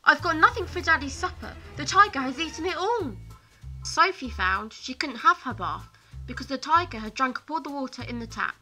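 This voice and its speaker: A woman reading a children's bedtime story expressively, in deliberate short phrases. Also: a little high, bright, forceful tone, low-quality recording. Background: music.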